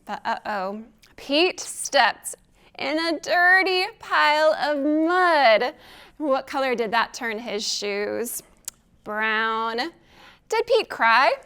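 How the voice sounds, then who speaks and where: A woman with a high voice reads aloud for young children in a storytime style. Her pace is slow and deliberate, with expressive phrasing and questions aimed at the little ones. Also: clear, resonant tone. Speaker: children's librarian hosting storytime.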